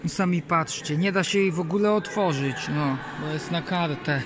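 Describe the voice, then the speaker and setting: A man's voice: on the high side, moderate pace. A young man giving casual, enthusiastic handheld commentary to his viewers about lifts. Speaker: lift enthusiast vlogger. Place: indoor lifts and lift lobbies. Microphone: handheld phone. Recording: noisy handheld phone in lift areas.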